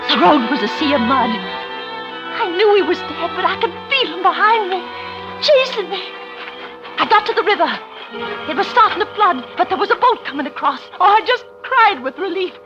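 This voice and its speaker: An actress in an old radio suspense drama playing a frantic, guilty wife, breathless and stammering in a melodramatic style. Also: female voice, very high-pitched, lively intonation, normal speed. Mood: tense, haunted narration. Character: frantic, guilty wife pleading for her life. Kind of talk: 1940s radio suspense acting. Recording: dull old radio recording, loud music behind.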